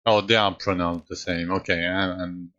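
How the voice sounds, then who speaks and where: A man's voice: deep voice, little pitch movement, pushed and bright, dull audio. A French man with a French accent, a native French speaker, chatting casually with his live audience as a streamer, often stopping and restarting his sentences. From France. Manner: halting, with frequent restarts.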